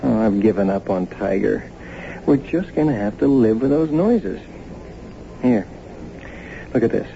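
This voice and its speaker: A man's voice: fairly low pitch, expressive, a little slow, gravelly, long pauses, warm tone. A man acting in a radio drama as a practical, easygoing husband who talks in a casual, matter-of-fact way. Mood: relaxed. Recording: muffled, noisy old radio broadcast.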